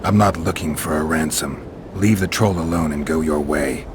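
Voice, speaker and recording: A stoic fantasy game monster hunter. His voice is low and gruff, his delivery terse and deadpan, with clear ambient noise around it. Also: moderate pace. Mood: matter-of-fact. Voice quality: deep, gruff. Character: stoic, world-weary male monster hunter. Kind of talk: video game character acting.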